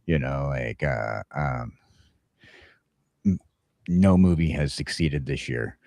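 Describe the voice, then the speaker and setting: A man's voice: rumbling low, animated, takes it slowly, gravelly, a little mellow, no room sound, clean studio sound. A man hosting a casual talk show, rambling along and repeating phrases for emphasis. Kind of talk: casual chat.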